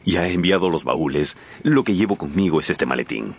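A man's voice: low voice, lively intonation, a little fast, breathy edge, full character voice. An actor playing an earnest, anxious painter troubled over his friend's morals, solemn and pleading, in a radio drama. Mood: friendly, hurried. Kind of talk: Spanish-language radio drama acting.

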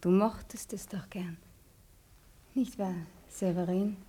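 Resigned, wistful wife, 1950s radio drama. Soft dark tone, very breathy, intimate. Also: female voice, medium pitch, steady tone. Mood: wistful, tender. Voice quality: breathy, husky. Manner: slow, wistful, intimate, half-whispered. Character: Elisa, melancholy, fragile wife in exile, tender and resigned.

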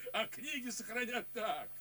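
A brash military officer, somewhat off the mic in a radio play. His voice is sharp and raspy, bluff and pleased with itself. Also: very high voice, recorded from a distance, heavily acted. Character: brash, self-satisfied military officer, crudely jovial. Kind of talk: stage-classic verse radio acting.

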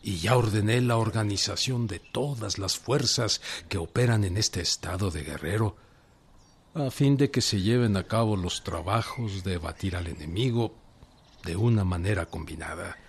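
An actor playing a stern, defiant revolutionary general in a radio drama, with a Mexican accent and emphatic, deliberate oratory. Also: male voice, fairly low pitch, gravelly, slightly rough. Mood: resolute, commanding. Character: defiant, indignant male agrarian revolutionary general, stern and commanding. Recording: music behind the voice.

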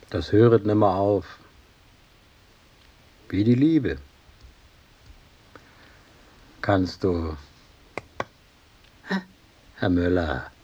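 An actor playing a chatty, needling jail trusty in a radio play, coaxing and familiar, with mock tenderness. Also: male voice, on the low side, darkish tone, husky, dull audio, exaggerated voice. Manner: coaxing, familiar, talks on unanswered, rhetorical questions. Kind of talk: German radio play acting.

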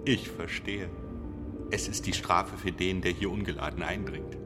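Actor as infatuated, reckless lover, pleading. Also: male voice, sits low, steady tone, normal speed, close to an everyday voice. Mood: desperate pleading. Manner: impassioned, pleading. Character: infatuated, reckless man begging a masked woman. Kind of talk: German radio play acting. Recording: music behind the voice.

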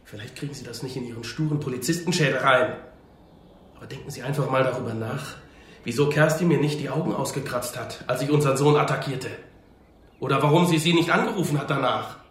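A man's voice: medium pitch, fast talker, roomy sound, a little hiss or hum, lightly acted. An actor playing a distraught, defiant middle-aged father in a radio crime drama, with an agitated, bitter way of talking. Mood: bitter, scornful.

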